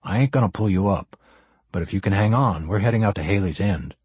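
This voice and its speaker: A wary, practical cop, played by a male narrator, speaking in a folksy way. His voice is low and husky, close and dry, as an audiobook character. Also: brisk, a little mellow, no room sound. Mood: wary, reluctant. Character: wary, pragmatic male police officer.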